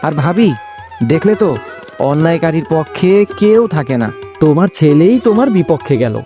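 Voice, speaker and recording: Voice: medium pitch, lively intonation, moderate pace, mellow, faint music behind, lightly acted. An actor voicing a moralizing village mediator in a cartoon drama. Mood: admonishing.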